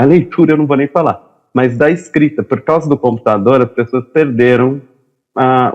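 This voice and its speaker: A male host at an average pitch, opening the chat and asking questions in a loose, friendly way. His questions wander and are full of fillers. The audio is slightly dull and lo-fi. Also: darkish tone. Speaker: interview host. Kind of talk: casual interview chat. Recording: dull lo-fi sound.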